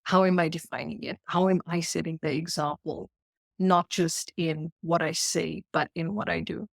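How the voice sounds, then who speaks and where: A woman in her thirties with a South African accent talks as a podcast guest. Her voice sits a bit low, and she works through ideas slowly and earnestly, asking herself questions as she goes. The sound is dry and close, with no room echo. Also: fairly even intonation, vocal fry. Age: 30s. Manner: earnest, searching, with repeated questions. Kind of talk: reflective interview talk.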